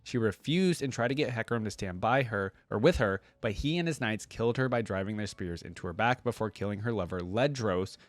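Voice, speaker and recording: A man's voice: fairly low pitch, fairly quick, few pauses, no echo. A man hosting a reaction channel, commenting casually and thinking aloud in an informal way, sometimes reading out text.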